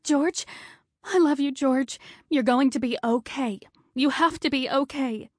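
A woman voicing a frantic middle-aged wife who talks in high, breathless, urgent pleas. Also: animated, takes it slowly, no echo, studio quality. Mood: pleading.

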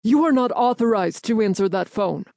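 A woman's voice: mid-range voice, animated, moderate pace, gravelly, darkish tone, no room sound, studio quality. Woman voicing a curt, stern older general. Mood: angry, withering. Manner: curt, withering, authoritative. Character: stern older male general, commanding and exacting.

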